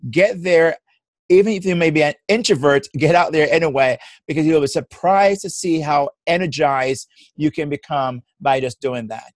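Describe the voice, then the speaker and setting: A man's voice: fairly high pitch, moderate pace, dry recording. A man with a Jamaican accent, a personal development coach, speaking as an interview guest in a reflective, encouraging way. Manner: warm, reflective and encouraging, laughs easily.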